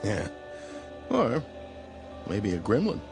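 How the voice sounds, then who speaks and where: Low-voiced wry airline captain. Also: male voice, huge pitch swings, takes it slowly, vocal fry, heavily acted. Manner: casual, dryly joking. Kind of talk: radio drama acting. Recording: music behind the voice.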